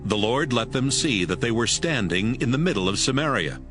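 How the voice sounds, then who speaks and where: A man's voice: mid-range voice, big pitch swings, moderate pace. A man narrating a dramatized Bible audiobook from a script. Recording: music behind the voice.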